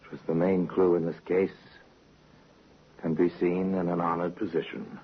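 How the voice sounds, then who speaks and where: Very deep-voiced grave male narrator. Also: little pitch movement, husky. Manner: grave, deliberate, suspenseful. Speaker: host and narrator. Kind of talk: scripted dramatic narration. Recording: hissy muffled vintage radio recording.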